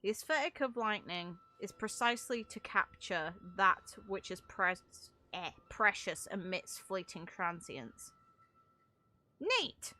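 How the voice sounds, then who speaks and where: A woman game streamer giving casual, chatty gameplay commentary, thinking aloud in an excitable way. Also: mid-range voice, takes it slowly.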